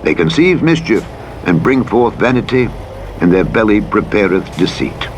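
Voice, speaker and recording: A man with a very low voice gives a solemn reading of scripture. His delivery is formal and measured, with a dramatic narrator's weight. Clear truck engine and vehicle noise runs behind him. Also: sing-song. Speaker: Bible audiobook narrator. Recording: playback under loud truck engine noise. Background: truck engine and vehicle noise from a driving game.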